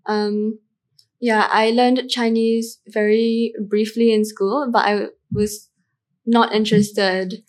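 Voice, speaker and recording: A teenage woman from Malaysia talks through her answers as a podcast guest. She is thoughtful and a little hesitant, with frequent fillers, and her voice sits slightly high. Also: flat delivery, slow. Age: late teens. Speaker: student guest. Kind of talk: casual interview answers.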